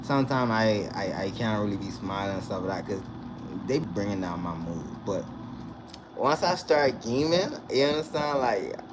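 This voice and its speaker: A young man from the Bahamas, vlogging in a casual, animated way and often checking in with the viewer. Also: lowish voice, moderate pace. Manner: animated, repeats "you understand?" to the viewer. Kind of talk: casual direct-to-camera chat. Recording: noisy recording with vehicle sounds behind.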